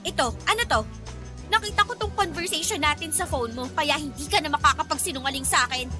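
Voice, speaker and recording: A woman with a Filipino accent playing an indignant, sharp-tongued young woman who scolds a man in a radio romance drama. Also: high-pitched, fast, music in the background, close to an everyday voice. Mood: angry, demanding. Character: indignant, feisty young woman confronting a male prankster.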